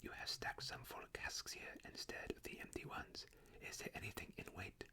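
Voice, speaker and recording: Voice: normal speed, close to an everyday voice. Reader whispering French-accented merry elves. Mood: teasing. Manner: teasing, impatient. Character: merry impatient cellar elves. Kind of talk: whispered ASMR storytelling.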